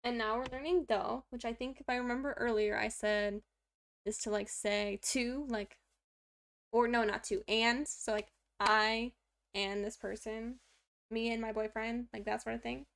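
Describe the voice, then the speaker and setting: Chatty young woman with a gravelly edge. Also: fairly even intonation, unhurried, a bit bright. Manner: chatty and self-deprecating, many fillers; talks to a pet in a high baby voice. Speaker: streamer learning Korean. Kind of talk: casual study stream, explaining grammar aloud.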